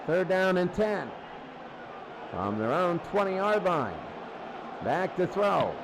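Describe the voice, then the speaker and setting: A man's voice: sits high, big pitch swings, slow pace, noisy background. A man doing live play-by-play football commentary in short, clipped, repeated phrases. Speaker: play-by-play sports announcer.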